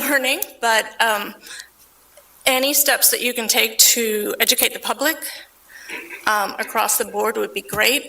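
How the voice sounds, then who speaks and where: Gravelly, bright woman speaking off the cuff. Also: on the high side, a little hiss or hum, rough recording. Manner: candid, conversational, with fillers. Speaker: speaker giving public comment. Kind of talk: unscripted testimony.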